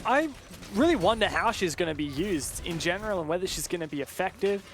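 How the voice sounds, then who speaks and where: A man doing live play-by-play esports commentary with a dramatic delivery that builds excitement around the action. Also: sits high, brisk, a little hiss or hum.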